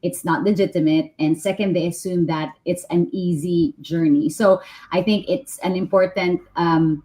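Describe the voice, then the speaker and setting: A woman's voice: lowish voice, fairly even intonation, moderate pace, warm tone. A woman hosting a live online panel, upbeat as she introduces her guests. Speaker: host.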